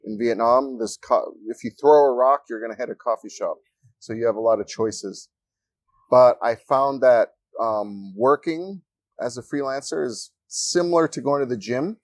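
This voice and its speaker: A man's voice: medium pitch, moderate pace, no echo. Easygoing man from Ecuador, dry sound. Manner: relaxed, anecdotal, joking. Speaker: guest, freelancer. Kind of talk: casual interview. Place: coworking space.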